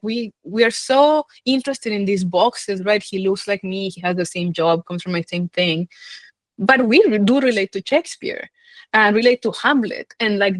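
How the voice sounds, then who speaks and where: A woman's voice: medium pitch, dry recording. A woman speaking as a scholar being interviewed, thinking aloud in an informal, hesitant, conversational way. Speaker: film scholar and guest.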